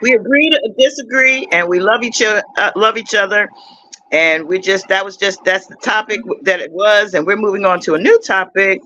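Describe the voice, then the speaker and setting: A man hosting a casual group discussion, gently halting as he moves the talk to a new topic. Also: high-pitched, slight background noise, rough recording. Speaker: host.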